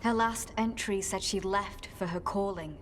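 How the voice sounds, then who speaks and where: An actress playing a caring, grave former warden recruit in a video game, speaking in a measured, earnest way. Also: female voice, mid-range voice, steady tone, a little hiss or hum, close to an everyday voice. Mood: solemn.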